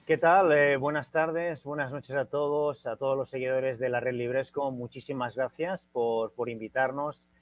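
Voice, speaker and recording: An older man, talking in a mid-pitched voice as a guest on a panel. He hesitates a lot, filling gaps with little sounds and starting phrases over. The sound is muffled, like a lo-fi video call. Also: steady tone, moderate pace, hardly any pauses, dry recording. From Catalonia, Spain. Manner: hesitant, many fillers and restarts. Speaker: guest writer. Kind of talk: casual panel talk.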